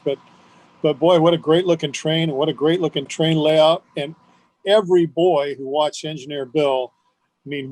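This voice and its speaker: A man's voice: fairly high pitch, darkish tone, no room sound, low-quality recording. An enthusiastic man reminiscing conversationally as a guest in an interview. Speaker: guest on a radio history show.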